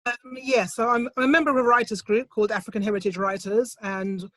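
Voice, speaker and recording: A middle-aged woman speaks over a video call in a measured, thoughtful way, often pausing to gather her words. Her laptop mic sounds dry, with no room around her. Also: a little high, fairly even intonation, no room sound. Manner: thoughtful, measured, with soft hesitations. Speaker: poet, competition winner. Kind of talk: online interview, reflective answers. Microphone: computer mic on a video call, set quiet.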